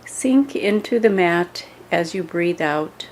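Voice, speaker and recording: Slow-paced woman guiding a meditation. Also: on the low side, lively intonation, darkish tone, a little hiss or hum. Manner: measured, instructional, with pauses between short phrases. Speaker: meditation and yoga instructor. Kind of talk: scripted guided meditation instruction.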